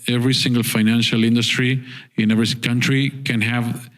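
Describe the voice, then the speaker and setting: A man's voice: mid-range voice, flat delivery, brisk, rough recording. A man speaking on a conference panel, earnest and repeating words as he works out his points. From Argentina.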